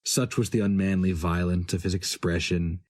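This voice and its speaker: A man giving a solemn, deliberate, dramatic reading of a fantasy story as its narrator. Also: low voice, fairly even intonation, darkish tone.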